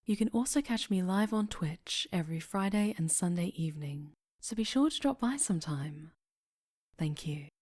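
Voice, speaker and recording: A woman's voice: lowish voice, lively intonation, normal speed, darkish tone, dry recording. A woman reading a scripted channel outro as the creator, close on the microphone.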